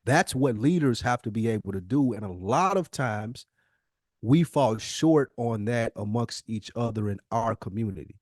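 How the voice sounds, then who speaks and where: A man's voice: medium pitch, animated, moderate pace, a little mellow, dry recording, studio quality. A man in a conversational podcast discussion, explaining emphatically and posing rhetorical questions as a co-host.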